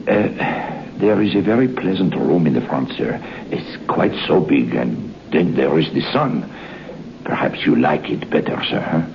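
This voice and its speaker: An actor playing a sly, deferential French head waiter with a French accent, in an old radio drama. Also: male voice, very deep voice, lively intonation, measured pace, creaky, exaggerated voice. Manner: deferential yet cryptic, insinuating. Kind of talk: 1940s radio acting. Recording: muffled old radio transcription with hiss.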